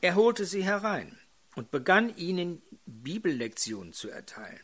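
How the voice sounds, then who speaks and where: An older man preaching a sermon in a hoarse voice, putting questions to his congregation. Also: sits high, big pitch swings, normal speed, a bit bright.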